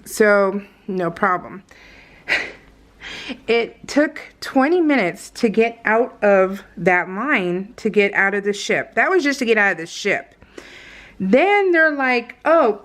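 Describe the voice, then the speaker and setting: A woman with an American accent chatting casually and unscripted as a vlogger, in a rambling, giggly, storytelling way. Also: mid-range voice. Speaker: vlogger hosting a scrapbooking process video.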